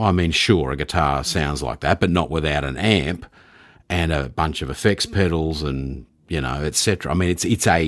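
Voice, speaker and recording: A man hosting a conversational podcast interview, thinking aloud in a halting, searching way. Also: low-pitched, no echo, clean studio sound. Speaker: podcast host.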